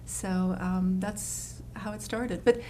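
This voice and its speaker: A woman's voice: medium pitch, steady tone, slow, a little hiss or hum. A woman from the Netherlands, a landscape designer, talking in a casual interview with lots of fillers and restarts. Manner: warm, enthusiastic, many fillers and restarts.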